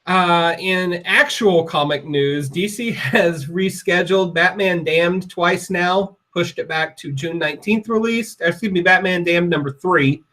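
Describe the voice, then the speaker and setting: A man co-hosting a podcast and running through the news. He is upbeat and conversational and quick to get excited. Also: high-pitched. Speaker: podcast co-host reading the news. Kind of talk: casual podcast news rundown.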